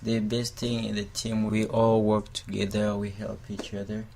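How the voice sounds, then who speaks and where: A man's voice: on the low side, monotone, normal speed, vocal fry, a little mellow. A teenage boy from Congo, a soccer player giving short answers in an interview. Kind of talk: informal interview.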